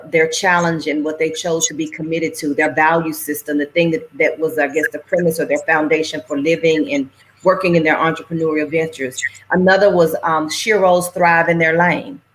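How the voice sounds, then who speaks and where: A middle-aged woman chatting on a livestream, telling stories with animated, folksy phrasing. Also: sits low, rough recording. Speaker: anthology organizer and guest. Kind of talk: casual conversation on a livestream.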